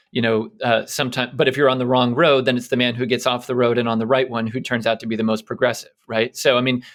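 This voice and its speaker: A man's voice: medium pitch, fairly bright tone. A man hosting a podcast, talking casually and tentatively as he circles toward his point. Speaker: podcast host. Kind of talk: casual intellectual discussion.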